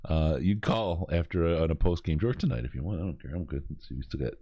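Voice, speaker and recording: Very deep-voiced rambling Michigan man. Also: animated, quick pace, warm tone, dry recording, lo-fi sound. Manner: rambling, restarts, swears casually. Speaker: podcast host. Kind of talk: casual charity stream chat.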